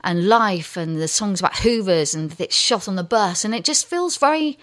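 A woman's voice: mid-range voice, expressive, moderate pace. A woman co-hosting a casual music chat show, talking enthusiastically and rambling through stories with frequent restarts. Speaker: radio co-host.

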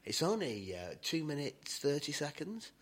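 A man's voice: fairly high pitch, huge pitch swings, normal speed. A man hosting a casual chat, rambling with lots of fillers and restarts. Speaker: host.

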